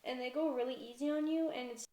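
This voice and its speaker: A woman's voice: sits high, steady tone, quick pace, mellow. A young woman from Canada talking casually about her life, hesitant and full of fillers. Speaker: vlogger. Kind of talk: casual personal vlog talk. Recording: roomy echo.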